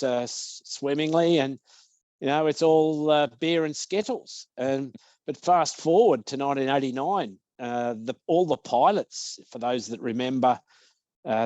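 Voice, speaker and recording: An Australian man in his sixties chats as an interview guest, spinning business anecdotes with a dry joke here and there. His voice sits at an ordinary middle pitch. It is recorded close and dry, with no room sound around it. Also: darkish tone, no echo. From Australia (Victoria). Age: 60s. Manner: anecdotal, jokey storyteller. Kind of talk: casual interview storytelling.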